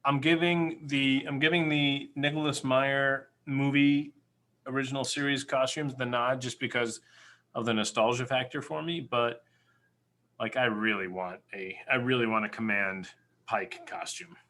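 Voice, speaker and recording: Male podcast co-host, mid-range but very bright, punchy voice, emphatic and opinionated in casual chat. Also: medium pitch, fairly even intonation, moderate pace. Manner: emphatic, opinionated, repeats words for stress.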